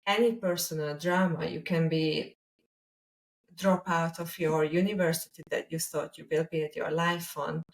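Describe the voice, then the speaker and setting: Slow-talking Hungarian woman, slightly distant. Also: low-pitched, steady tone. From Hungary, a small village. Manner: deliberate, pausing mid-sentence. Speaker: podcast co-host. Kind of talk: reflective conversational discussion.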